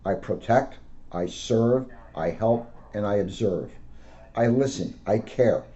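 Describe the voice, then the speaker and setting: A man, a police officer, reading aloud to children in simple, deliberate phrases. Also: lowish voice, dark tone. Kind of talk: read-aloud storytelling with casual asides. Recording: dull lo-fi sound.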